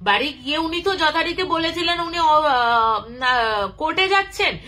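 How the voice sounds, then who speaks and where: A woman telling a story informally and unscripted, in a halting, conversational manner, as a storyteller who acts out dialogue. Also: high-pitched, animated, sharp tone.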